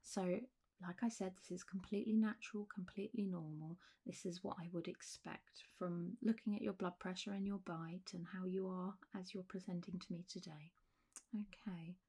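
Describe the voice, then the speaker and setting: A woman with a soft, mellow voice that is breathy and often slips into whispering. She plays a clinic doctor in an ASMR roleplay. Her manner is gentle and reassuring, and she takes her time, as if easing a patient through a check-up. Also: lowish voice, fairly even intonation, normal speed. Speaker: ASMR performer role-playing a clinic doctor.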